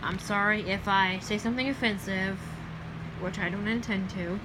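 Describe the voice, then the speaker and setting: A young woman with a Southern US accent, chatting casually in a late-night vlog, rambling with many ums and self-corrections and trailing off softly. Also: medium pitch, steady tone, normal speed, noisy background. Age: young adult. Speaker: vlogger.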